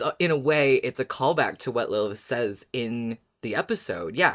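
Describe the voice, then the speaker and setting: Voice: medium pitch, a bit bright. A podcast co-host chatting casually and enthusiastically, musing aloud.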